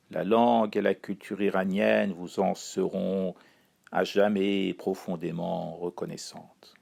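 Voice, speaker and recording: A man from Iran reading his own spoken column in a wry, ironic, measured way. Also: low voice, creaky, darkish tone, husky. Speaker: chronicler. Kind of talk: read-aloud spoken column.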